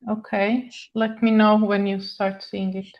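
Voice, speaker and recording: An older woman from Croatia with a Croatian accent, giving a webinar lecture in a halting way with many pauses. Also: mid-range voice, level tone, highs cut off. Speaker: guest speaker, neurochemist. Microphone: video call.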